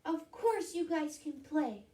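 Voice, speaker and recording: A woman voicing a small, eager female mouse in a squeaky, earnest storybook voice. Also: expressive, takes it slowly, mellow, echoey room, distant mic. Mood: explaining. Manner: exclaiming, earnest about fair play.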